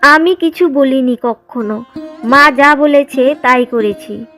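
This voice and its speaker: A woman's voice: high-pitched, slow, fairly bright tone, faint music behind. A woman playing a meek, dutiful young daughter-in-law in a village cartoon drama. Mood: hurt, meek. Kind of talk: Bengali cartoon drama dialogue.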